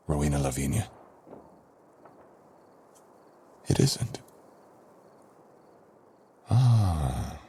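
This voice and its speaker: A man playing a dangerous, vengeful ancient sorcerer in an audio roleplay, speaking in a husky, breathy voice with dramatic, threatening pauses. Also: very deep voice, mellow, slight background noise, heavily acted. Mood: threatening, impatient. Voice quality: husky, breathy, often dropping to a whisper. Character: dangerous ancient male sorcerer, menacing, vengeful, freed from long imprisonment. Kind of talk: boyfriend ASMR audio roleplay.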